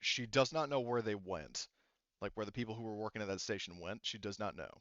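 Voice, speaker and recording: A man improvising tabletop game narration as game master, often halting and rephrasing mid-sentence. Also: lowish voice, a bit bright, dry recording, lo-fi sound.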